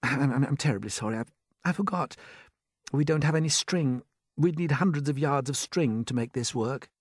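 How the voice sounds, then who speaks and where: Young storybook boy, read by a man: earnest, polite, determined, higher register. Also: moderate pace, husky, no echo, clean studio sound, close to an everyday voice. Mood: apologetic.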